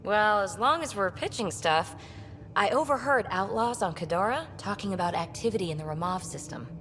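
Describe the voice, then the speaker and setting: An actress playing a sardonic, outspoken crew member in a video game, with a dry, sarcastic manner. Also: female voice, medium pitch, animated, brisk, fairly bright tone, slight background noise, natural voice. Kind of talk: video game cutscene acting.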